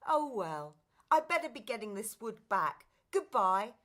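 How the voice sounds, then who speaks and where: A woman plays a polite, downcast young girl in a radio musical. Her voice is high and bright, with a wistful manner. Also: sing-song, measured pace. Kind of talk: stage musical radio play acting.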